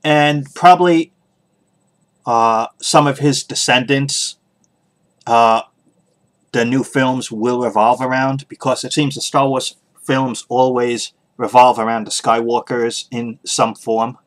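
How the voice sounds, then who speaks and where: A man hosting a podcast, giving casual solo opinions in a halting way, pausing often as he thinks aloud. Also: mid-range voice, steady tone. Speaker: podcast host.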